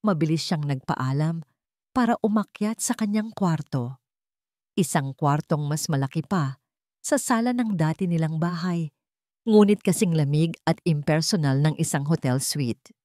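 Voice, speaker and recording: A woman's voice: deep voice, animated, moderate pace, a little mellow, no room sound, studio quality. A woman reading a scripted romantic drama story as its narrator.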